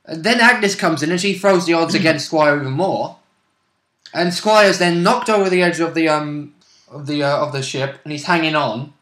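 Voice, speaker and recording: A man's voice: on the high side, normal speed, fairly bright tone. A man chatting casually as a player, chiming in to recap a tabletop role-play game. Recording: roomy, echoing home recording.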